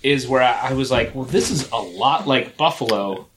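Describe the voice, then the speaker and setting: A man's voice: medium pitch, normal speed, fairly bright tone, a little hiss or hum. A man co-hosting a podcast in casual chat, often stopping and restarting his sentences. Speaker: podcast co-host.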